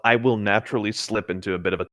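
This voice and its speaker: A man's voice: on the low side, steady tone, rapid-fire, a bit bright, no room sound, studio quality. A man casually reading a story aloud as co-host, playful and jokey, breaking into chatty banter. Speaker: co-host reading and riffing on internet horror stories.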